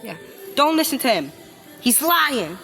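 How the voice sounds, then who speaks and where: A woman giving casual reaction commentary, speaking haltingly and often repeating and stumbling over her phrases. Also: high-pitched, big pitch swings, bright, forceful tone, soft background music. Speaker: reaction video host.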